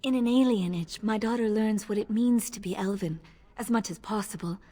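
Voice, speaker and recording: A modest, deferential elven servant woman from a fantasy game, acted by a woman. Her voice is breathy and soft at an ordinary female pitch, with music playing behind it. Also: moderate pace, close to an everyday voice. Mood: guarded, wistful. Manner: polite, deferential, soft-spoken. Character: modest, gentle elven lady-in-waiting, a young mother. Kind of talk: video game voice acting.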